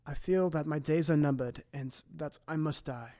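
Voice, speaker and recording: A man's voice: a little high, dark tone, lightly acted. A man playing a grave, shaken Victorian doctor, recounting horror in formal, dramatic audio drama narration. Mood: shaken, despairing. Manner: formal, dramatic, measured storytelling.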